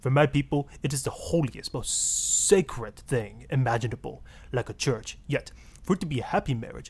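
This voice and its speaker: A man's voice: mid-range voice, breathy edge. A man voicing a suave, sleazy marriage guru with a Spanish accent, florid, seductive and pompous, in a satirical game radio style. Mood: oily, reverent. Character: suave, sleazy Latin male marriage guru, grandly passionate.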